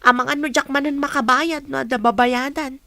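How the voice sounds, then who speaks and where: A woman's voice: high-pitched, fairly even intonation, fairly quick, vocal fry, pushed and bright, dry recording, full character voice. A woman playing an anxious, doubtful teenage daughter in an audio drama. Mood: doubtful, questioning.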